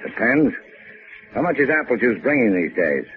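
An American actor playing a cool, wisecracking adventurer in a 1950s radio drama, with a sardonic, slangy delivery. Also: male voice, mid-range voice, sing-song, normal speed, faint music behind, lightly acted. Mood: wry bargaining. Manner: sardonic, casual drawl with tough-guy slang. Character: wry, cool, wisecracking boat-owning hotel keeper adventurer. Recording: muffled, phone-like old radio recording.

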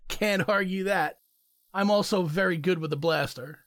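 A man's voice: high voice, slow, a bit bright, no room sound, studio quality. A man playing a cocky, playful uncle, a seasoned fighter, teasing and confident in a sci-fi audio drama.

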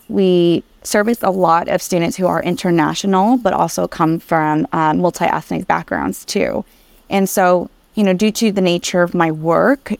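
Woman guest on an interview, kinda low voice, earnest n reflective, really dry close sound. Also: dry recording. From Vietnam. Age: adult. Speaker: interview guest, career coach. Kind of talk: personal, reflective interview talk.

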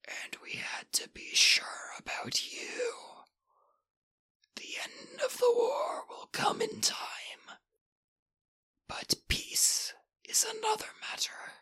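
A man voicing an ancient gray Martian creature in a slow, solemn, rasping whisper. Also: very high-pitched, steady tone, pushed and bright, voice pushed up, exaggerated voice. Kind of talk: audiobook character voice.

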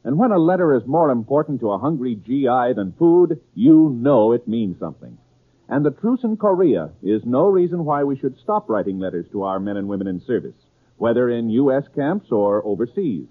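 A man's voice: medium pitch, very animated, moderate pace, slightly rough. An American man announcing from a script in 1950s radio style. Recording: tinny old 1950s radio broadcast.

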